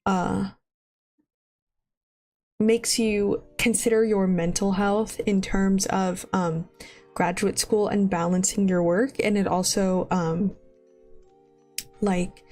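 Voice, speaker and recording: Woman in her 20s, streamer, stuffy and sniffly from a cold; slow, rambling, giggly delivery. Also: mid-range voice, a little mellow. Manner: rambling, laughs often. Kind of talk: casual live-stream chat.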